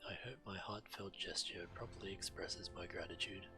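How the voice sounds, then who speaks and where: A man's voice: low-pitched, normal speed. A man streaming a video game and reading its quest text aloud. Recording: loud game music behind. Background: video game music.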